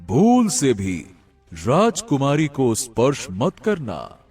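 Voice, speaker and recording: A man playing an imposing river god in an animated fairy tale, husky, grave and solemn. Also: mid-range voice, soft background music. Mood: grave pronouncement. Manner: grave, deliberate, pronouncing.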